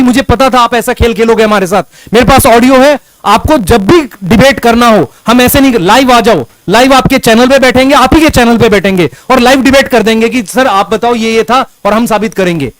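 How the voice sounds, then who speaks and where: इस्तीफ़ा दे चुका एक शिक्षक अपने पूर्व बॉस से सीधे और गुस्से में शिकायत करता है, एक ही बात बार-बार दोहराता है।. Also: male voice, very high-pitched, no room sound, rough recording. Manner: confrontational, repeats himself insistently. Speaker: teacher who resigned from an ed-tech company. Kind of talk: heated complaint addressed to a former boss.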